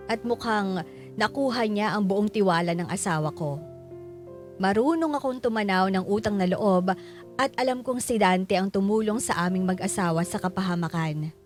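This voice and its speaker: A woman acting as a wary wife who narrates her own story in a scripted radio drama, measured and reflective. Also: medium pitch, natural voice. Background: music.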